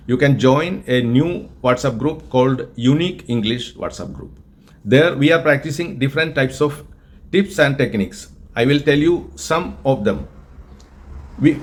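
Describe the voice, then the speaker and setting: A man from Kerala, India, with a Malayalam-influenced Indian accent, teaching English in an earnest, deliberate way and often repeating himself. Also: mid-range voice. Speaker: English tutor and group organizer. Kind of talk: spoken English lesson with an AI chatbot.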